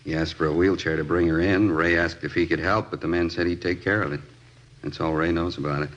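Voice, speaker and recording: A brisk American hospital doctor with a low voice. He reports in clipped, matter-of-fact phrases in the style of 1950s radio drama. The old recording sounds slightly muffled. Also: fairly even intonation, fairly bright tone.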